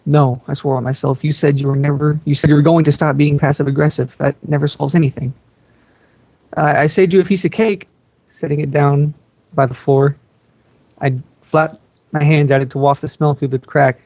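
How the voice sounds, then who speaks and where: Higher-voiced man reading, dull lo-fi mic. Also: little pitch movement, fairly quick, a little mellow, no echo. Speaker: amateur fan fiction reader. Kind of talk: scripted narration read aloud in first person. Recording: dull lo-fi microphone, dry.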